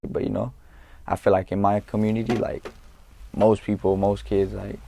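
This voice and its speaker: A young man from California, a guest in an interview, talking in a laid-back, halting way with street slang and lots of fillers. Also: on the low side, level tone, gravelly, dark tone, slight background noise. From Norwalk, California. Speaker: guest, rapper. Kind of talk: casual interview talk.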